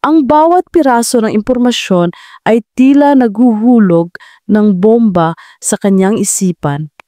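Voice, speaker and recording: A woman's voice: on the high side, animated, measured pace, warm tone, no room sound, studio quality. A woman narrating a scripted romance drama as a storyteller, in a dramatic style.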